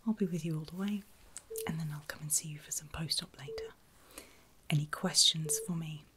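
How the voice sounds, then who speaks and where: Woman, low-pitched soft whisper, breathy, unhurried, gentle bedside ASMR roleplay, audible background noise. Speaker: ASMR performer playing a medical carer.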